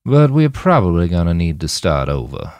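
A man's voice: sits low, very animated, normal speed, a little mellow, clear, resonant tone, no echo. A man voicing a world-weary, guarded male companion in a matter-of-fact, hedging way. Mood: exasperated.

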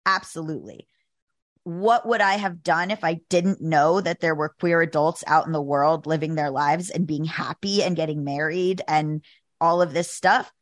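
A woman in her thirties talks candidly in a casual chat. She speaks in a quick flow full of fillers like "like" and "you know.". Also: deep voice. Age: 30s.

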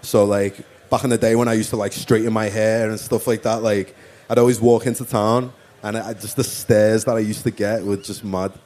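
A man with a Liverpool accent chats loosely as an interview guest. His voice sits a bit low and sounds warm and mellow. He rambles easily, leaning on "like" and "you know what I mean". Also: little pitch movement, moderate pace. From Liverpool, England. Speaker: musician guest. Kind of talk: casual interview chat.

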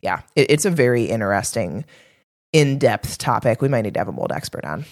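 A woman's voice: rumbling low, big pitch swings, fairly quick, darkish tone. A woman co-hosting a casual health podcast, affirming her partner and adding her own thoughts in a supportive manner. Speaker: podcast co-host, health coach.

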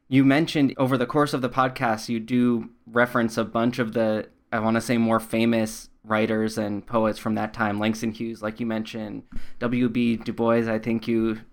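A man hosting a conversational podcast interview, speaking in an interested, affirming manner as he leads into questions. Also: mid-range voice.

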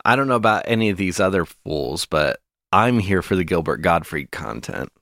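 A man's voice: sits low, animated, normal speed, a bit bright, no echo. A man co-hosting a podcast, trading dry jokes in casual banter. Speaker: podcast co-host.

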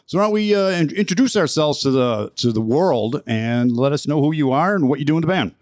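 A man's voice: a little high, animated, a little fast, darkish tone, no room sound, clean studio sound. A man with a Chicago accent, co-hosting a casual podcast chat, joking and laughing easily. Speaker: podcast co-host.

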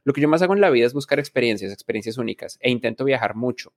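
A man's voice: medium pitch, lively intonation, racing. A man, a reflective interview guest who often restarts his phrases. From Colombia. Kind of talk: casual interview talk.

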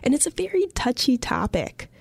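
A woman co-hosting a podcast, reading scripted narration in an even, conversational way. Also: high-pitched, normal speed, vocal fry, slightly rough, no room sound. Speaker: podcast co-host.

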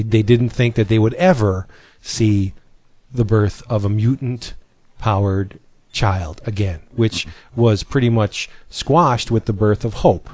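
A man's voice: lowish voice, a little mellow, no room sound. A man hosting a comic book podcast in casual chat, rambling and correcting himself as he goes. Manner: rambling, self-correcting, laughs often. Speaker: podcast host.

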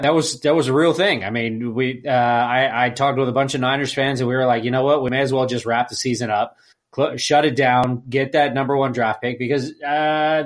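A man co-hosting a podcast, chatting casually in a hesitant, rambling way. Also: mid-range voice. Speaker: podcast co-host. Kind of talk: casual panel chat.

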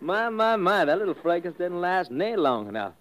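An actor playing a folksy, gleeful backwoods sailor with a drawling American Southern accent in 1950s radio drama style. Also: male voice, high-pitched, big pitch swings, normal speed, a bit bright. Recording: dull vintage radio recording.

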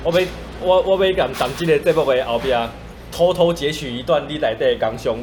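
A man's voice: fairly high pitch, fairly quick, darkish tone. A man with a Taiwanese accent hosting a casual podcast chat. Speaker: podcast host. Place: coffee shop. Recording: faint cafe ambience noise.

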